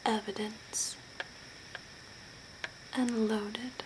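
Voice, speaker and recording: An attentive, macabre zombie makeup artist, acted by a young woman, whispers in an ASMR role play. The delivery is slow and soft, with long pauses. There is noticeable hiss and ambience behind the voice. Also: a little high, level tone. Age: young adult. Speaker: ASMR performer. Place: small curtained room indoors.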